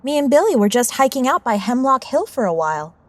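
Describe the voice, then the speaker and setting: A woman's voice: sits high, very animated, fast, no room sound. An actress playing a secretive, defiant, superstitious teenage girl in a radio drama. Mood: evasive, flustered.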